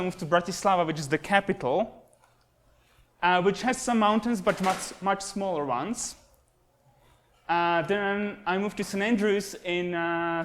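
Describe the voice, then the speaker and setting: A young man from Slovakia, a native Slovak speaker, gives a relaxed, self-deprecating university lecture with many fillers, recorded by a camera at the back of a classroom. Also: high voice. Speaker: guest lecturer, astronomy postdoc. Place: classroom with projector and computers. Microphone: camera at the back of the room.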